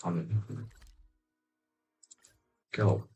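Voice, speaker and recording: A man giving casual gameplay commentary in a halting, mumbled way. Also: dark tone, soft background music. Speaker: game streamer.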